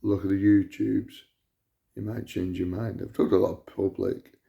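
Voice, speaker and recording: Gravelly deep-voiced man ranting. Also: level tone, moderate pace, lo-fi sound. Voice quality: loud voice. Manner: repetitive, indignant, emphatic. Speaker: vlogger. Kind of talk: ranting monologue to camera.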